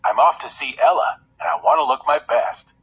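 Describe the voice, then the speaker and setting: A man's voice: medium pitch, moderate pace, fairly bright tone, husky, rough recording. A man voicing a fussy, pampered young storybook prince with an exclamatory manner. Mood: breezy, eager.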